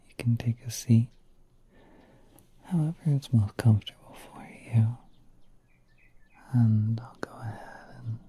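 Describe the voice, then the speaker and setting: A man plays a gentle spider prince in an ASMR roleplay, whispering in a very dark, mellow tone. He is courteous and caring, with soft, reassuring phrasing and unhurried pauses. A light noise sits behind the breathy voice. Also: medium pitch, natural voice.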